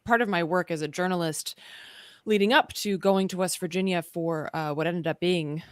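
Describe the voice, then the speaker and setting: Woman, 30s, journalist guest on a call; low-pitched, thoughtful, wry, dead-dry close mic. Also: moderate pace, dry recording. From North Carolina and Sweden. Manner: thoughtful, many ums and restarts, wry asides. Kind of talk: casual video-call interview. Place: home room indoors. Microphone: studio mic on a video call.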